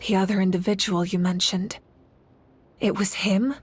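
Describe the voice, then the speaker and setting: A woman's voice: medium pitch, steady tone, normal speed, background noise, close to an everyday voice. A woman playing a resolute, selfless young leader in a video game, earnest and firm. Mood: surprised. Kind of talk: scripted video game voice acting.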